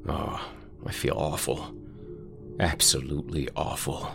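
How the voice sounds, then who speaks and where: A man voicing a panicked, hunted man who speaks in a hushed, whispery way. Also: extremely low pitch, fairly even intonation, a little slow, gravelly, music in the background, full character voice. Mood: desperate.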